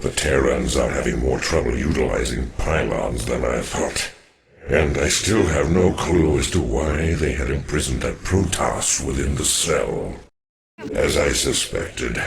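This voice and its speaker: A man playing a grave, weary old alien warrior in a video game, with a deep gravelly voice and a solemn, formal manner. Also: unhurried, slight background noise, heavily acted. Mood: troubled, musing.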